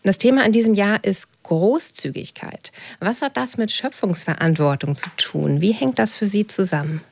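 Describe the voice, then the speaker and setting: A woman pastor hosting a church radio interview, asking clear, composed, scripted questions. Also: medium pitch, moderate pace, no echo.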